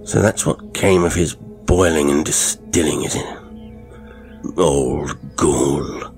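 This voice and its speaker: A man voicing a gruff, impatient older country squire. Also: very deep voice, slow, darkish tone, faint music behind, full character voice.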